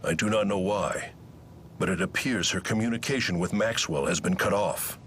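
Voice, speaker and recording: A man's voice: sits low, moderate pace, fairly bright tone, slight background noise. An actor playing a grave, steadfast, stoic male elemental spirit in a video game, with a formal, stately delivery. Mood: grave, explanatory. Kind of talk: dramatic video game voice acting.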